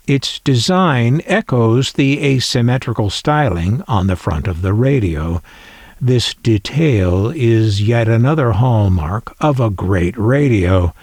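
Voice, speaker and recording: A man hosting a collector video, calmly describing an old item and reading from its manual, with wry little asides. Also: lowish voice, no room sound. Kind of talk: unhurried descriptive show-and-tell.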